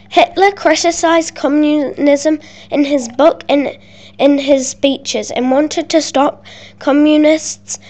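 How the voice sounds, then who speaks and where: A woman's voice: sits high, a little mellow. A woman reading scripted narration for a school project, carefully and with some stumbles over words. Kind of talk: scripted narration, read aloud.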